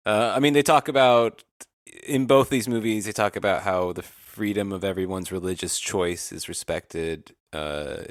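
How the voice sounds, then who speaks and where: Guy with a lowish voice chatting about films on a podcast, super dry sound. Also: normal speed, no echo. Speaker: podcast co-host. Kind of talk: casual film discussion.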